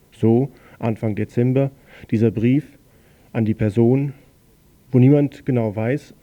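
A man reading a news bulletin on a local radio station in a plain, slightly halting way, correcting himself now and then. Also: mid-range voice, vocal fry, highs cut off.

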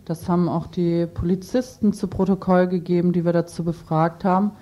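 A woman's voice: level tone, a little fast, dark tone. A woman answering interview questions spontaneously as a local activist, in a matter-of-fact way, on a lo-fi recording. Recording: dull lo-fi interview tape, faint rumble.

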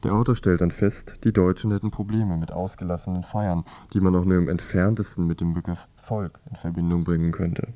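A man's voice: deep voice, steady tone, fairly quick, hardly any pauses, dark tone, no room sound. A man presenting a scripted magazine review on the radio as narrator.

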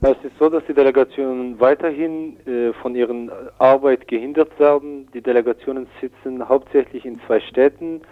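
Male phone correspondent, mid-pitched, narrow tinny line, hesitant reporting with frequent pauses. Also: fairly even intonation. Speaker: delegation coordinator giving a phone report. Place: telephone call to radio studio.

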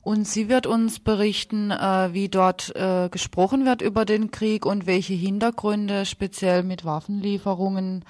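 A woman co-presenting radio news, giving loosely scripted announcements with hesitant pauses in mid-sentence. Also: medium pitch, fairly even intonation, a little mellow, no room sound.

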